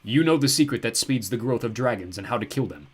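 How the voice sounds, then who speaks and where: A man voicing a huge, cruel ancient ogre lord who talks slowly and boastfully. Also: animated, husky. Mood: menacing. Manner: booming, slow and gloating. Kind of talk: audiobook character voice.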